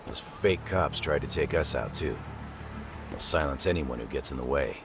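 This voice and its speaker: An actor playing a blunt, streetwise detective in an English game dub, matter-of-fact about danger. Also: male voice, very deep voice, animated, moderate pace, background noise. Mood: grim, matter-of-fact.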